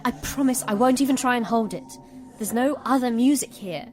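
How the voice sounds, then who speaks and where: A woman's voice: fairly high pitch, a little fast, darkish tone, soft background music, lightly acted. A woman playing a sly, wheedling little boy who needles his sister in an audio drama. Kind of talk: audio fiction drama acting.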